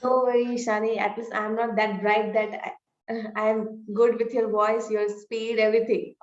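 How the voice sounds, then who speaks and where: A woman's voice: fairly high pitch, little pitch movement, normal speed. Woman student, roomy muffled sound. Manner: friendly, reassuring. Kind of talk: asking questions in an online class.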